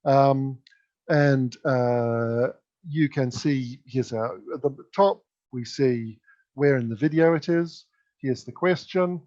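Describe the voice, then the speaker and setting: A man's voice: mid-range voice, slow pace, darkish tone. A man giving an instructive, emphatic conference keynote presentation. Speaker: keynote speaker. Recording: dull lo-fi sound.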